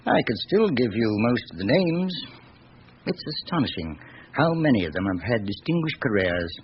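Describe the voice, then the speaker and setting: Guy acting as urbane old lawyer in his seventies, musing away, really animated pitch, dull hissy old radio play. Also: lightly acted. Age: older. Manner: musing, discursive, urbane, with reflective hums and pauses. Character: genial, worldly seventy-seven-year-old French lawyer reminiscing. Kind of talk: classic radio drama acting.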